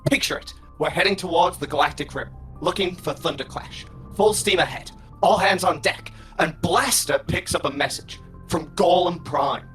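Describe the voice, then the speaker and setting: A woman's voice: lowish voice, moderate pace, soft background music, natural voice, low-quality recording. A woman voicing a chatty, boastful robot in a cartoon fan dub, telling things in a breezy, theatrical way. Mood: gleeful.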